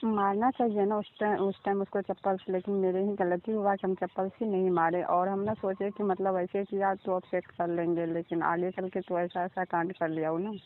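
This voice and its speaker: A woman's voice: mid-range voice, flat delivery, normal speed, hardly any pauses. A woman from Bihar with a Bihari accent, defending herself insistently and colloquially in a recorded phone interview. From Bihar, India. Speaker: interviewee, orchestra stage dancer. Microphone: phone call. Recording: tinny phone-line call recording.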